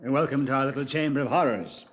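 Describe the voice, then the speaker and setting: Actor as a genial British police commander, muffled. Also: male voice, medium pitch, quick pace, a little hiss or hum, lightly acted. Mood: genial, matter-of-fact. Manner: chatty, understated, hesitant. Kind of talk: 1950s radio drama acting. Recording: muffled vintage radio recording.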